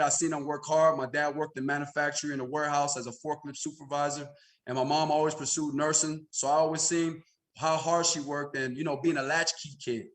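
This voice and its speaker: A man's voice: a little high, a bit bright. An American man in his thirties from Chicago, telling personal stories casually as an interview guest, often checking in with "right?". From Chicago, United States. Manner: conversational, frequent "you know" and "right?" tags. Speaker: podcast guest, insurance agency senior executive.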